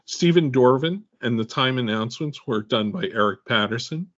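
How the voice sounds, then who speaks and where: A man's voice: medium pitch, lively intonation, moderate pace, a bit bright, highs cut off. A man reading scripted production credits as an announcer.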